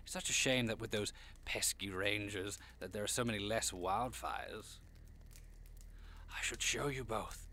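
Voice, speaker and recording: A man playing a genteel, hospitable and macabre devil-like gentleman in an audio drama, effusive and quaintly polite in a theatrical way. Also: fairly low pitch, a little slow. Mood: wistful, macabre.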